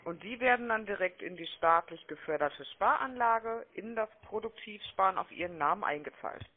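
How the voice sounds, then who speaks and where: A woman making a phone sales pitch, chatty and persuasive, often checking for agreement, heard over a telephone line. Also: expressive, normal speed. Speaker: telemarketing caller. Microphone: telephone call.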